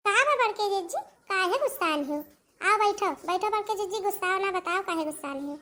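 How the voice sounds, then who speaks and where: A woman's voice: extremely high pitch, lively intonation, a little fast, sharp tone, full character voice. A woman voicing a feisty, easily offended village wife in a cartoon comedy, with an Awadhi accent and a rustic scolding manner. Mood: animated.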